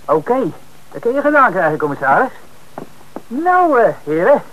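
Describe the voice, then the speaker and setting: A cocky young former bank clerk. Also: male voice, very high-pitched, normal speed, full character voice. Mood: casual. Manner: hesitant, drawling, lively swoops. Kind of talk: 1960s radio play acting. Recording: noisy old radio recording.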